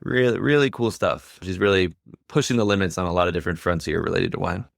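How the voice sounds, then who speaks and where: A man's voice: deep voice, fast talker, vocal fry, dry recording, clean studio sound. A man hosting a podcast in a casual, conversational style. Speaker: podcast host.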